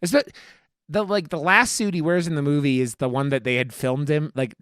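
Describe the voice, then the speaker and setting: A man with a clear, smooth voice in a dry, close-sounding recording. He talks casually and emphatically as a podcast co-host, rambling and swearing freely as he goes. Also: on the high side, moderate pace, fairly bright tone, no echo. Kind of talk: casual film review chat.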